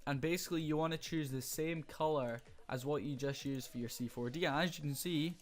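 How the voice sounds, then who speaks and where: A young man with a slightly hoarse voice, casually talking through a screen-recorded graphics tutorial with many fillers. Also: a little high, brisk. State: slightly hoarse, losing his voice.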